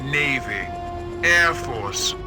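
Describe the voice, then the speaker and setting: Commanding schemer over music. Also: male voice, medium pitch, expressive, very slow. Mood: solemn, commanding. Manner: formal, declamatory. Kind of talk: video game cutscene acting.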